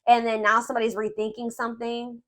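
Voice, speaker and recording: A woman's voice: a little high, flat delivery, quick pace, fairly bright tone. A woman giving a casual, improvised tarot reading, thinking aloud and rephrasing herself as she goes. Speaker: tarot reader.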